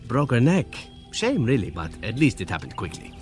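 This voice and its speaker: An actor playing a suave, playful elven assassin in a video game, with a silky, teasing, theatrical manner. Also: male voice, mid-range voice, huge pitch swings, moderate pace. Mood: wry, reminiscing. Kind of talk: video game character acting. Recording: faint music behind the voice. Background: faint background music.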